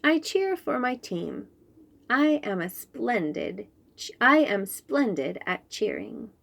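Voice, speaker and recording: A woman reading a children's picture book aloud in an expressive, animated storytelling manner. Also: a little high, slow pace, vocal fry.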